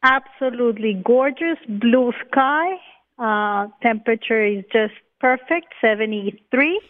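A woman's voice: fairly high pitch, unhurried. Cheerful Colombian woman on the phone. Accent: Colombian Spanish. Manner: cheerful, laughs easily. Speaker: cookbook author. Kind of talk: phone interview. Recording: tinny phone line.

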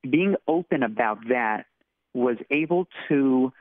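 A man's voice: mid-range voice, expressive, slow pace, no echo. A man, author and interview guest, who speaks with a stutter and often repeats words. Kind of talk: interview conversation. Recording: tinny phone line.

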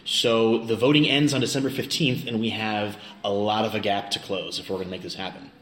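A man's voice: fairly low pitch, steady tone, a little fast, fairly bright tone. A middle-aged American man from Kansas chatting casually and jokily as an interview guest. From Kansas, United States. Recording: roomy, clearly noisy location recording.

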